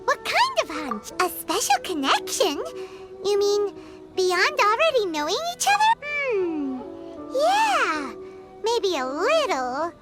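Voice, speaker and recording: A tiny fairy girl companion with an extremely high, wildly swooping voice. She is chatty, childlike and easily indignant, in video game dialogue over prominent music. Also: slow, exaggerated voice. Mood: earnest, concerned. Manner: childlike, excitable, refers to self in third person.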